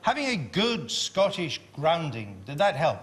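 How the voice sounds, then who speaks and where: A man's voice: a little high, sing-song, unhurried, fairly bright tone. A man hosting a studio panel interview, asking chatty and teasing questions. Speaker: TV talk show host.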